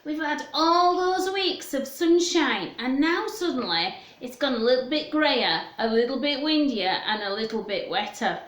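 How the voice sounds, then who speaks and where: A woman presenting a children's storytime, chatting in a gentle sing-song way and putting questions to young listeners. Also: high-pitched, expressive, a little slow, few pauses. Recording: roomy, echoing room sound.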